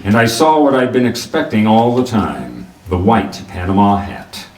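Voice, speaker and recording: An actor playing a wry, hardboiled private detective, narrating a noir radio drama in a clipped way. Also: male voice, fairly low pitch, sing-song, normal speed. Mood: wry, brisk. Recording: roomy, distant mic, faint noise.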